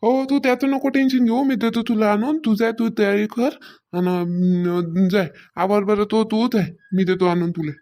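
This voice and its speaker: A woman's voice: mid-range voice, fairly even intonation, normal speed, warm tone, natural voice. A woman voicing an easygoing, food-loving village husband in a cartoon comedy, speaking casually with a rural Maharashtrian accent. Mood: reassuring. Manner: casual, colloquial, placating. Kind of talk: amateur cartoon comedy dialogue.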